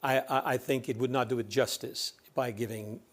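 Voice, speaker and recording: Dutch man, panelist, earnest, mid-pitched voice. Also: fairly even intonation, normal speed. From Netherlands. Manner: earnest, emphatic repetition. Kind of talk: conference panel discussion.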